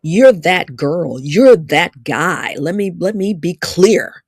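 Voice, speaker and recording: A woman's voice: fairly low pitch, expressive, slow, breathy edge, dry recording, studio quality. A woman in her fifties with an Alabama accent gives a livestreamed motivational talk, emphatic and preacher-like, repeating lines for stress. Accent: Southern US, Alabama. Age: 50s. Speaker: relationship speaker.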